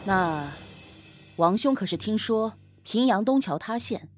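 A woman's voice: mid-range voice, animated, a little slow, faint music behind, natural voice. A woman playing a sarcastic young husband and official in a Chinese costume audio drama, with a pointed, snorting delivery. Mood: jealous, sarcastic.

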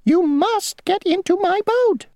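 A woman voicing a tiny, pompous male mosquito ferryman who talks slowly and insists on rules. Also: very high-pitched, lively intonation, warm tone, no room sound, clean studio sound, voice pushed up. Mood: self-important. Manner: slow, rule-bound, boastful.

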